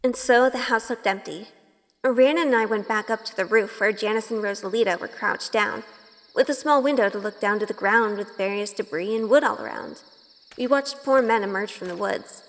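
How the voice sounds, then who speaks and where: A woman's voice: mid-range voice, moderate pace, a bit bright, close to an everyday voice. An actress playing an innocent, anxious young woman newly among witches, with an earnest, polite, timid manner in an audio drama. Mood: narrating. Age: young adult. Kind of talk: audio drama acting with first-person narration.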